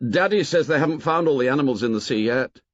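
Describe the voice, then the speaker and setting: A man voicing an excitable schoolboy who rambles eagerly in breathless run-on sentences. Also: medium pitch, fast talker, dry recording, voice pushed up, lightly acted. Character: chatty excitable schoolboy, Maurice. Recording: dull lo-fi dry audiobook.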